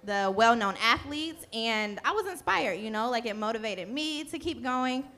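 A woman's voice: on the high side, expressive, moderate pace, sharp tone. Chatty woman from North Carolina. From Charlotte, North Carolina. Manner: casual, chatty, many fillers. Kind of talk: panel discussion.

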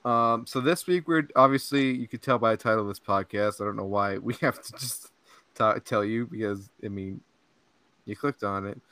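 A man's voice: on the low side, moderate pace, pushed and bright, clear, resonant tone, no room sound. A young man hosting a podcast, chatting casually and rambling, laughing often and saying "like" and "dude" a lot. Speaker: podcast host. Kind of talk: casual chat. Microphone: podcast mic.

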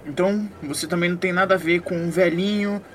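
A man's voice: high-pitched, fairly even intonation, quick pace, a bit bright, soft background music. A man playing a scornful detective in a tabletop game, accusing and sarcastic. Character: scornful male detective interrogating a culprit.

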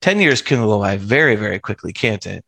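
A man's voice: lowish voice, expressive, fairly quick, creaky, a bit bright, dry recording. A man hosting an interview podcast, chatting in a friendly, conversational way. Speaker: podcast host. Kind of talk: casual interview.

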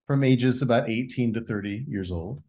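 A man's voice: medium pitch, normal speed, darkish tone, slight background noise. A man speaking as a guest in a reflective spiritual conversation, musing and asking a string of rhetorical questions. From United States.

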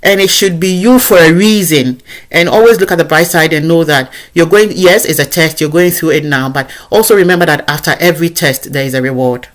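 A woman hosting an interview chat with a very low voice for a woman. She affirms and encourages as she talks. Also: fairly quick. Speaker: podcast host. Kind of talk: conversational interview.

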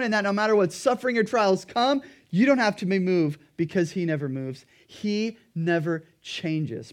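A pastor preaching a sermon in an earnest, emphatic way, his throat a little dry and raspy. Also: high-pitched, a little mellow.

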